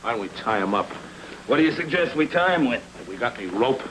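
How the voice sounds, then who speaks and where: An American actor playing a practical gang member in a film noir thriller, slangy and questioning. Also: male voice, mid-range voice, very animated, fast, a bit bright. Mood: impatient. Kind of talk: 1940s film noir acting. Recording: noisy old film soundtrack.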